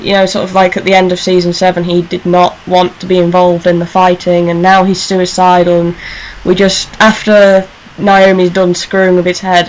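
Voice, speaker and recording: A woman discusses things casually on a fan panel. Her voice is a bit low and stays quite level, with little melodic movement. Faint noise runs behind her. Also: poor audio. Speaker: radio show panelist. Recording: clear background noise.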